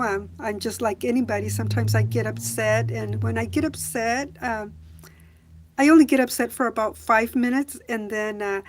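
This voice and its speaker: A woman with a calm, gentle voice chats on a livestream, her pitch sitting a little high. She talks very slowly, stopping often to restart a thought or fill the gap with "uh", and reads viewer questions aloud before answering them. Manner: slow, soft-spoken, many hesitations. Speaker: livestream host. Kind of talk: casual live chat reading comments.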